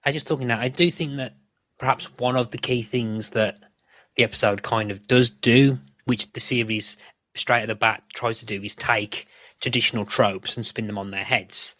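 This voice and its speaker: A man's voice: mid-range voice, fairly even intonation, moderate pace, fairly bright tone, no room sound. A man hosting a podcast, discussing analytically but casually, circling back over his phrases as he goes. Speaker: podcast host.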